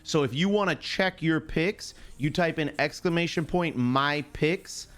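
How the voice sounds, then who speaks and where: A man's voice: on the high side, unhurried, a bit bright. A man hosting a live sports stream, chatting casually in chummy slang, greeting viewers by name and repeating himself.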